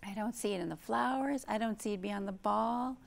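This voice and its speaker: A woman in her fifties hosting a children's storytime, speaking gently and expressively in simple phrases, with questions for young listeners. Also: medium pitch, brisk, creaky. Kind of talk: read-aloud storytime for young children, with chat and song. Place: indoor library program room.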